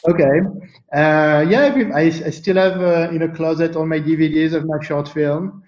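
A man from France, speaking as an interview guest in a thoughtful, halting way with many fillers and restarts. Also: a little high, fairly even intonation, darkish tone, reverberant, highs cut off. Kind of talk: casual interview chat.

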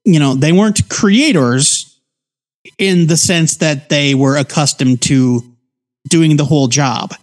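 A man's voice: on the high side, slow. An American man in his forties giving casual, conversational commentary as a podcast co-host. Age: 40s. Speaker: podcast co-host reviewing comics.

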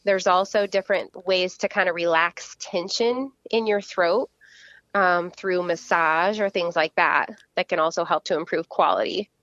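A woman speech-language pathologist talks through voice exercises in an easy, explanatory way, with plenty of fillers. Her voice sits a little low. The recording is dry and slightly muffled. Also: normal speed, no echo. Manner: explanatory, steady, many fillers. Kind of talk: casual interview answers.

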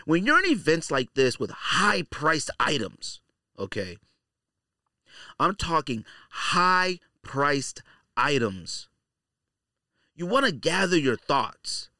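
Animated, bright-voiced man ranting on a podcast. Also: on the high side, takes it slowly, long pauses, no room sound, studio quality. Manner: animated ranting, slangy, repeats points for emphasis. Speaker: podcast host. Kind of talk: casual opinionated podcast monologue.

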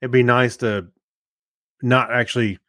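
A man's voice: medium pitch, steady tone, unhurried, sharp tone, no room sound. Laughing, rambling man, dry close sound. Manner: rambling, laughs often, restarts. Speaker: podcast co-host. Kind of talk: casual banter.

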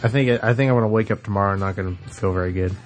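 A man co-hosting a podcast in casual chat, speaking with a sore throat from a coming cold, mostly giving brief low-key replies. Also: very fast, soft background music. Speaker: podcast co-host.

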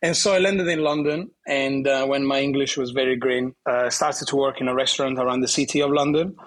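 A man in his thirties from southern Italy speaks English with an Italian accent, his voice husky and slightly breathy. He talks casually in an interview, stopping and restarting often and laughing lightly. His pitch sits in an ordinary middle range. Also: fairly even intonation. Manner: halting, many restarts and fillers, laughs often. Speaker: guest bartender.

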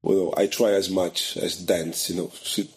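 Brazilian-accented man with a low voice. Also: slightly rough. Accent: Brazilian Portuguese. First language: Portuguese. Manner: animated storyteller who acts out quoted voices, halting second-language phrasing. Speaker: guest, photographer. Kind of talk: casual conversational interview.

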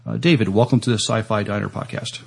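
A man hosting a podcast interview in a halting way, stumbling over his words as he introduces a guest and asks prepared questions. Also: on the low side, vocal fry, husky.

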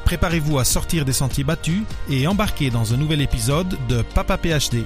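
A man's voice: fairly high pitch, a little fast, music in the background. A man hosting a podcast, introducing the show and his guest and asking questions in a friendly, enthusiastic way. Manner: enthusiastic, friendly, some fillers. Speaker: podcast host.

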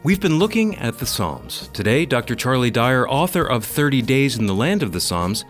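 A man's voice: medium pitch, sing-song, moderate pace. A man announcing a radio program, reading a scripted introduction. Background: music.

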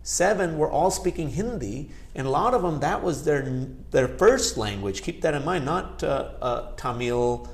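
A man's voice: on the high side, animated, measured pace, few pauses. Chatty India-born man, Gujarati native. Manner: chatty, frequent "you know" asides. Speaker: guest, company founder. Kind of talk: casual interview conversation.

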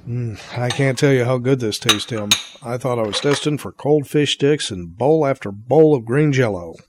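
A man's voice: medium pitch, normal speed, darkish tone, slight background noise, close to an everyday voice. A man playing a grateful, kind, easygoing uncle in an audio drama. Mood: grateful, cheerful.

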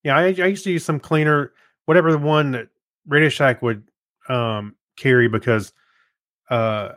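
A man's voice: mid-range voice, moderate pace, fairly bright tone, no room sound, studio quality. An American man co-hosting a podcast, chatting casually in an easygoing, rambling way. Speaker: podcast co-host. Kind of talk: casual chat.

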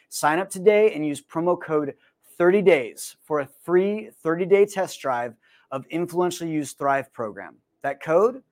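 Man, from the United States, podcast host, upbeat, joking, slightly high voice. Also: a little mellow. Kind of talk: casual podcast chat and read outro.

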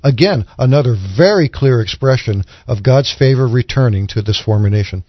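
Male guest commentator, medium pitch, measured explanatory scripture talk, close dry sound, slightly muffled. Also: dry recording.

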